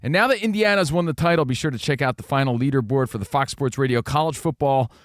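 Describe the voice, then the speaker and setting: Hyped sports radio co-host, dry studio sound. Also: male voice, mid-range voice, fast, no echo. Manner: energetic, hyped, jokey rhymes. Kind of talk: casual sports talk show banter.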